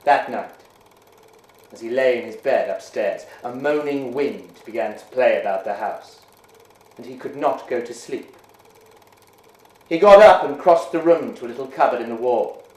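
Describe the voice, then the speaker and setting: A man giving a measured, hushed dramatic reading of a ghost story. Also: mid-range voice. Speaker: reader. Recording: roomy echo.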